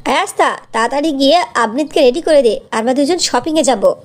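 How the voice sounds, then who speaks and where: A woman's voice: high-pitched, fairly quick, close to an everyday voice. A woman voicing a cruel, bossy stepmother in a fairy-tale cartoon, scolding, sarcastic and demanding.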